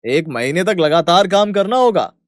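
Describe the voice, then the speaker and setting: A man voicing a rich, bossy village farmer in a children's story, loud and direct. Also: sits high, animated, normal speed, no room sound, clean studio sound. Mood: brisk. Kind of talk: acted dialogue in a children's moral story.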